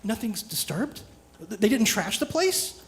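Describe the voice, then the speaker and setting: A woman's voice: on the high side, sing-song, unhurried. A woman playing an anxious, aggrieved young student in a live radio play, hesitant, stammering and emotional. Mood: shaken. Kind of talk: live radio theatre acting.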